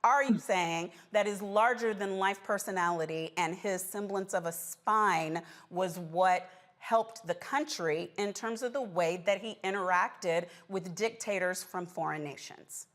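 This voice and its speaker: A woman's voice: medium pitch, a little slow, few pauses, a bit bright. A woman hosting a political talk show, putting challenging, persistent questions to a guest.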